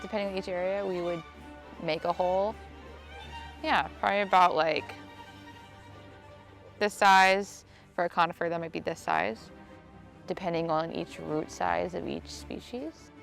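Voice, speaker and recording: A woman in her twenties from Maryland, with a slightly low, gravelly voice, walks through a process step by step. Soft music plays behind her. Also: steady tone, a little slow, long pauses. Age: 20s. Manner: demonstrating, explaining steps. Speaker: education officer. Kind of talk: documentary interview, demonstration.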